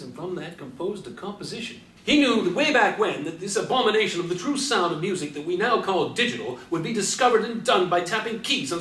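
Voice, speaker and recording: A man's voice: fairly high pitch, fairly bright tone. Distant, roomy actor as wordy aging Beat man. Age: older. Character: wordy aging Beat poet, brooding doubter and music purist. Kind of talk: stage play dialogue. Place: live stage performance recorded from a distance. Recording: roomy, distant mic, faint background noise.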